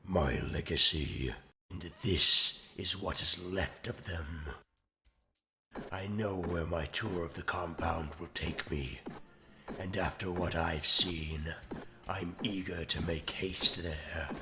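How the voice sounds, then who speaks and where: A man's voice: deep voice, slow pace, music in the background. A man playing a commanding, brooding robot warlord in a fan comic dub, with grandiose, menacing, theatrical oratory. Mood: brooding, disdainful. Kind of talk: dramatic villain monologue and narration, fan comic dub.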